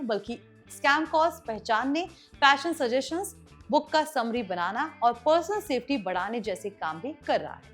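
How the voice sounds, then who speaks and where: High-pitched Indian woman presenting tech news. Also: a bit bright. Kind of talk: scripted news explainer narration. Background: background music.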